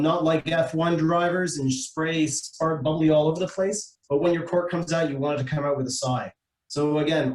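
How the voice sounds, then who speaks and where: A man's voice: a little high, darkish tone. Echoey Canadian man presenting on a video call. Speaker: wine importer presenting a tasting. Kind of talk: informal educational presentation. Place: indoor room, online video meeting. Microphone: video call microphone.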